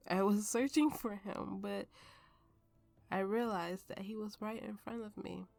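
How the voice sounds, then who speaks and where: A woman playing a tipsy, haunted warrior telling her grim story in an ASMR roleplay, halting and dramatic, sometimes sinking toward a whisper. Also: mid-range voice, creaky, a little mellow.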